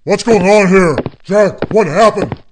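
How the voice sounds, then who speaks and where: A man's voice: sits high, measured pace, background noise. A man playing a fussy, distraught bank manager in a radio play, scolding and dramatic. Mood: indignant, wounded.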